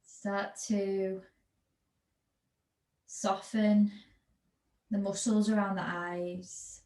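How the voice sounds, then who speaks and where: Slow-paced woman guiding yoga, echoey room. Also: mid-range voice, flat delivery, a little mellow. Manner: soothing, unhurried, with long pauses between phrases. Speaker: yoga teacher. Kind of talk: guided yin yoga and relaxation instruction. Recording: echoey room with background noise.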